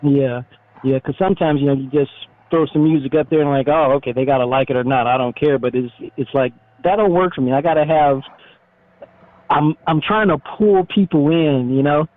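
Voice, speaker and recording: A man's voice: on the high side, normal speed, slight background noise. A middle-aged American man giving a casual, easygoing interview over the phone, speaking informally. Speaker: interviewed motorcycle YouTuber, retired military. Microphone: phone call. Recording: muffled narrowband phone line.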